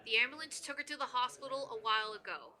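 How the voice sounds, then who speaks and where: A woman's voice: on the high side, fast talker, sharp tone. A woman playing a young, matter-of-fact friend bearing urgent news in an audio drama. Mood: concerned.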